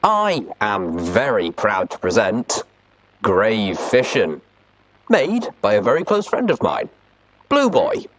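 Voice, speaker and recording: A man's voice: fairly low pitch, wildly swooping pitch, takes it slowly, low-quality recording. A man hosting a reading show, giving a scripted introduction in a theatrical, announcing manner. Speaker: host of a fan fiction reading show.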